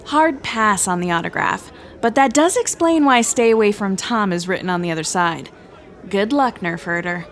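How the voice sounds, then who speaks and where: A woman acts as a brash female sci-fi cantina local. She is sarcastic and dismissive, with an average-pitched voice, delivering scripted comedy with a sharp put-down manner. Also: animated, normal speed, close to an everyday voice.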